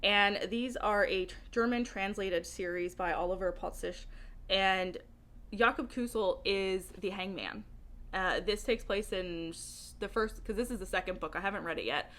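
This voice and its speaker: A woman chatting casually and informally as a book vlogger, animated and emphatic, often giggly as she talks. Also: medium pitch, moderate pace. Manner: giggly, laughs often while talking. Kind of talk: casual chatty video monologue.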